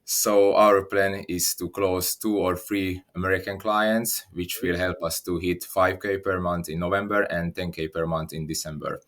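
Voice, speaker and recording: Young man, deep and even, measured guest answers. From Slovakia. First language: Hungarian. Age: 20s. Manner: careful, repeats words while thinking. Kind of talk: interview answers.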